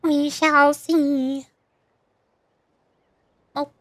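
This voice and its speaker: A woman's voice: high voice, little pitch movement, extremely slow, fairly bright tone, no echo, studio quality. A woman livestreamer chatting casually to viewers, rambling and thinking aloud as she goes.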